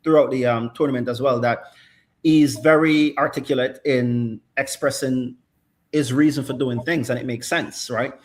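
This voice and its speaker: A man's voice: medium pitch, steady tone, moderate pace. A middle-aged man analysing football in casual chat, thoughtful and checking in with "right?" often. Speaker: football pundit.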